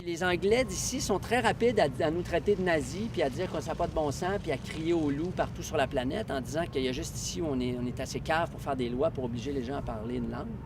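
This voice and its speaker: A man's voice: fairly high pitch, quick pace, few pauses, far from the mic, background noise. A man with a Quebec French accent giving a documentary interview, arguing his point emphatically. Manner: argumentative, emphatic.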